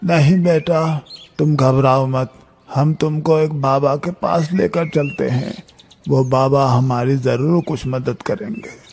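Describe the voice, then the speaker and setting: A man's voice: mid-range voice, fairly even intonation, slow, darkish tone, slight background noise. A man playing a reassuring village elder in a dramatized horror story.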